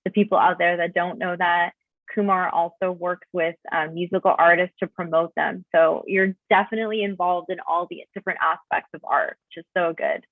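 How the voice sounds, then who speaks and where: A woman's voice: lowish voice, steady tone, brisk, no echo, phone-quality sound. A woman hosting an interview series, enthusiastic and effusive, on video call audio. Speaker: interview host.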